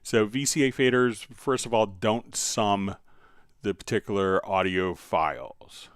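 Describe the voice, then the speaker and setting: A man hosting a live software tutorial, explaining step by step and informally thinking aloud as he demonstrates. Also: lowish voice, unhurried, smooth, dry recording, clean studio sound. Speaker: software instructor and livestream host.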